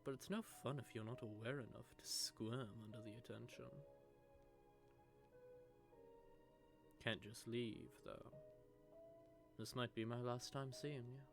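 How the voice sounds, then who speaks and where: A man's voice: mid-range voice, steady tone, moderate pace, over music, lightly acted. A man playing a sardonic, predatory vampire with a sentimental streak, teasing and taunting in an intimate audio roleplay monologue. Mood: teasing menace. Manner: teasing, taunting, drawling menace with soft asides. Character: sardonic, predatory male vampire, secretly sentimental toward prey.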